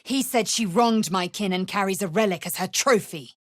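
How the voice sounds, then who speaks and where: Woman voicing a husky, haughty alien warrior woman. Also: no echo, clean studio sound, natural voice. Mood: stern, grave. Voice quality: raspy, husky, breathy when low. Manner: clipped, haughty, deliberate, stern. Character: proud, fierce young female alien githyanki warrior. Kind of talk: video game character acting.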